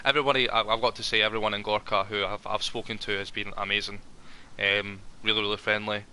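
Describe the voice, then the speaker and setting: A rambling, friendly man with a lowish voice and a very bright, forward tone. He fills his talk with ums and restarts as he chats in character. A faint hum of ambience sits behind him. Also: little pitch movement, fast. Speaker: roleplay player as a ranger. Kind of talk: in-character roleplay chat.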